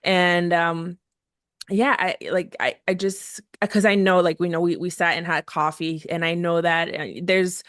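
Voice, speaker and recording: A woman hosting a podcast for mothers, her low-ish voice recorded close and dry. She speaks warmly in substance but casually in form, circling back with fillers and restarts as she encourages her guest. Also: little pitch movement, a little slow, dry recording. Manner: encouraging, hesitant with many fillers. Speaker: podcast host. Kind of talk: casual faith conversation.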